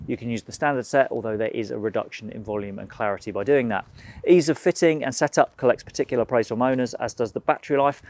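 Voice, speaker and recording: A man reads through a scripted gear review at a quick clip, practical and matter-of-fact. His voice sits at a middling pitch. The recording is dry and close, with no room sound. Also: a little mellow, no room sound. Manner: brisk, informative, practical. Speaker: product reviewer for a motorcycle gear shop.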